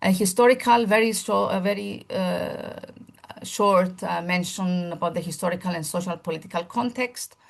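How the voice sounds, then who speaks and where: A woman's voice: on the low side, moderate pace, creaky. A woman, a primary school teacher, giving a seminar presentation in formal lecture phrasing, earnest and often restarting as she searches for words.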